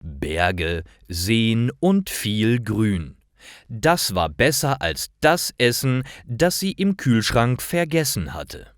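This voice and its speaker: A man with a fairly low voice narrates simple stories for language learners. He reads very slowly and deliberately, with lively swings in intonation. The delivery is scripted and careful throughout. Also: no echo, clean studio sound.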